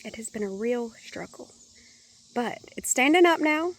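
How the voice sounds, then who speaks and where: Hoarse chatty woman outdoors. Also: on the high side, moderate pace. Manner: chatty, relaxed, thinking aloud. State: hoarse, congested. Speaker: gardening vlogger. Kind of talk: casual walk-through chat. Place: outdoors in a home garden.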